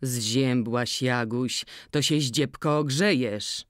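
Old stubborn farmer guy, low coaxing murmur, dry close storybook sound, reader doing it. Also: slow, no echo, lightly acted. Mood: tender. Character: older widowed farmer, stubborn and smitten. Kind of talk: audiobook character voice.

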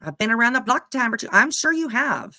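A woman's voice: on the high side, lively intonation, fast talker, pushed and bright, no room sound, clean studio sound. A woman giving casual, snarky commentary on a clip, mocking and swearing freely. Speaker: reaction channel commentator.

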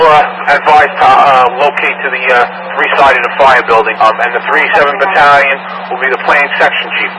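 Man, fire dispatcher, slightly high voice, clipped procedural delivery, two-way radio, clear scanner static. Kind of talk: terse radio dispatch, reading units and codes.

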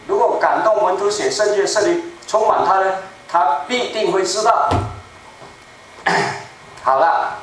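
A man's voice: high voice, a bit bright. Older man preaching in an echoey church. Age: 70s. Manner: deliberate, slow and emphatic, repeating key phrases. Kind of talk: sermon. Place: small church sanctuary. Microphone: room mic from a far camera. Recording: echoey room, distant mic, faint noise.

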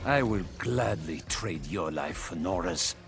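An actor playing a cold, obsessive, grieving scientist villain in a video game, speaking in a formal, stern, measured way. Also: male voice, deep voice, lively intonation, vocal fry, exaggerated voice. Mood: cold resolve. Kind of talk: video game character dialogue. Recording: loud music behind.

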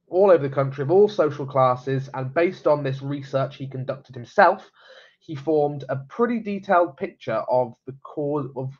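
A young man with a stuffy cold talks in a rambling, friendly way, full of fillers and repeated words. His voice sits in a middle pitch. The sound is a little dull, as if picked up by a webcam in a small room at home. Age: young adult. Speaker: genealogy presenter. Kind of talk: casual live-stream explainer. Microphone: webcam at desk. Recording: slightly dull webcam audio.

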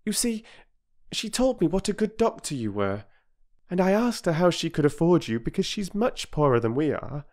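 An earnest young girl pipes up with high, pleading questions, as a man puts her on. Her voice leaps about restlessly, and she speaks in a storybook reading. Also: sing-song, normal speed, darkish tone. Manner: eager, pleading, rising questions.

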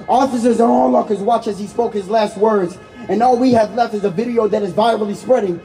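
A man's voice: high-pitched, normal speed, dark tone, faint music behind, low-quality recording. A man performing spoken-word protest poetry in an impassioned, emphatic way, repeating key lines for effect. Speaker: spoken-word poet and activist speaker.

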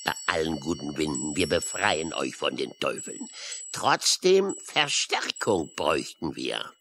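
A man's voice: extremely low pitch, very animated, a little slow, music in the background, heavily acted. A man playing a hearty, blustering sailor uncle in a children's audio play, boisterous and emphatic. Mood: hearty, resolute. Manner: boisterous, emphatic, swearing seaman's oaths.

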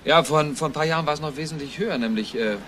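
A man's voice: fairly high pitch, rapid-fire, heavy background noise, lightly acted. A man playing an earnest, thorough police investigator in a German crime radio play, explaining things matter-of-factly. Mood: reporting.

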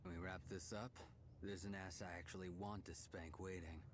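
Casting: male actor as cocky young ninja champion for a video game, deep register, sly and taunting, over clear background noise. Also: fairly even intonation, moderate pace, natural voice. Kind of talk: video game character voice lines.

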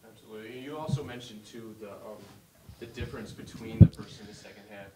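A man's voice: on the low side, quick pace. A man reporter asking long, rambling questions at a press conference. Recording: roomy, distant, noisy press room.

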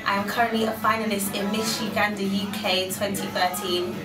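A woman's voice: mid-range voice, level tone, fairly quick, reverberant, recorded from a distance, music in the background. An eighteen-year-old woman giving a formal, rehearsed self-introduction as a pageant contestant.

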